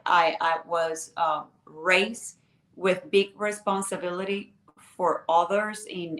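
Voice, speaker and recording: A woman's voice: on the low side, fairly bright tone, roomy sound. A woman from Venezuela, a congressional candidate answering interview questions earnestly with strings of rhetorical questions.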